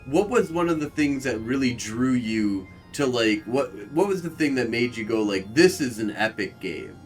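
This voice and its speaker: A man's voice: mid-range voice, expressive, normal speed. A man hosting a casual interview, enthusiastic and laughing often. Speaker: podcast host. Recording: distant from mic, faint music.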